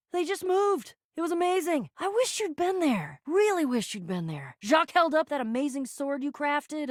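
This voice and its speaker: A woman voicing an excitable, bragging adventurer in a video game, earnest and theatrical, often stammering. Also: high-pitched, dry recording, clean studio sound. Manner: stammering, theatrical, swinging from gushing to sulky. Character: excitable, bragging adventurer, a living household object, earnest and dramatic. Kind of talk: video game character voice acting.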